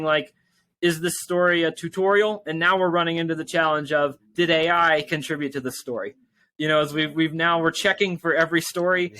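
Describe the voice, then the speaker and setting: Male interview guest, casual and rambling, bright voice sitting a bit high, dry sound. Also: no echo. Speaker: tech company founder and interview guest.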